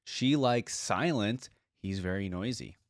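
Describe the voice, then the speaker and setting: A man's voice: fairly low pitch, measured pace, slight background noise. A man hosting a reaction video, talking casually and off the cuff about what he hears.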